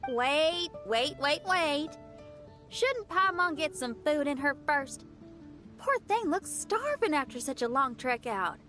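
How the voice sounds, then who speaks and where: A woman's voice: sits high, expressive, slow pace, fairly bright tone, faint music behind, exaggerated voice. A woman playing a spirited, generous young girl in a video game, speaking in a folksy, casual, hearty way. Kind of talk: animated video game voice acting.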